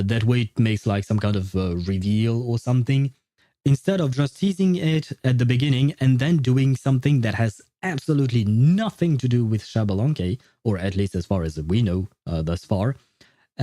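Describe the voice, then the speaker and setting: A man's voice: medium pitch, warm tone, dry recording. A man hosting a livestream, chatting casually with viewers in a rambling, playfully teasing way. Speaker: livestream host. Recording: dry voice over faint background music.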